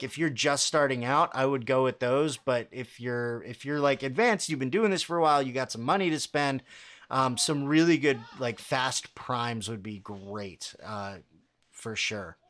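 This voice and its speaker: Laid-back man with a low bassy voice. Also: fairly bright tone. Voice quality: fairly low voice with a lot of bass. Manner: casual and halting, many fillers and restarts. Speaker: livestream host answering chat questions. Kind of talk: casual livestream Q&A.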